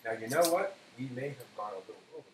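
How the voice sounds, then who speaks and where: A man's voice: medium pitch, animated, rapid-fire. A man hosting a casual cooking segment, thinking aloud in a hesitant way as he goes. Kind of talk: casual cooking show chat. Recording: echoey room with faint hiss.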